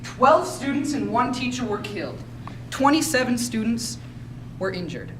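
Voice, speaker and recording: A woman's voice: a little high, lively intonation, moderate pace. A woman reading scripted dramatic narration for a stage readers theater piece. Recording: noisy, far from mic.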